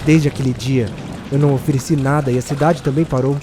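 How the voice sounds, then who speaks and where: An actor playing a kind, brave Aztec village hero in an audio drama, earnest and dramatic. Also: male voice, mid-range voice, steady tone, quick pace, background noise, close to an everyday voice. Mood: anguished, guilty.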